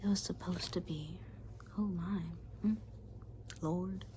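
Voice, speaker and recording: A woman playing a menacing spider demon in an ASMR roleplay, whispering breathily in a rambling, deadpan, casually cruel way close to the mic. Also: on the low side, takes it slowly. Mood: wistful, accusing. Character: menacing female spider demon toying with her human prey. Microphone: close ASMR microphone. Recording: hissy close recording with clicks.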